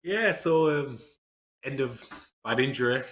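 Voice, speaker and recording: A man's voice: medium pitch, animated, measured pace, fairly bright tone. A man, speaking earnestly as an interview guest, with frequent fillers and restarts. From England. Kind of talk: reflective conversational interview.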